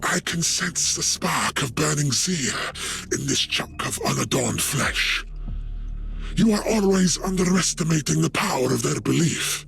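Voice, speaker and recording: A creaky, sneering ancient schemer. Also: male voice, a little high, expressive, measured pace, fairly bright tone, soft background music, exaggerated voice. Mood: scornful. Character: ancient male dark elf flesh sculptor, sly, scheming. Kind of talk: video game voice acting.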